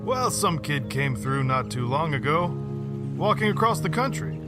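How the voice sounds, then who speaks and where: A man's voice: fairly high pitch, very animated, normal speed. An actor playing a chatty, friendly, folksy local man in an audio drama. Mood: friendly, curious. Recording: music playing under the voice.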